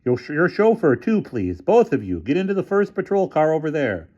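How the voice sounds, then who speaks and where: A man's voice: a little high, very animated, brisk, darkish tone, lo-fi sound, pitched above the performer's own voice. A man doing a stern, polite police officer. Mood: firm.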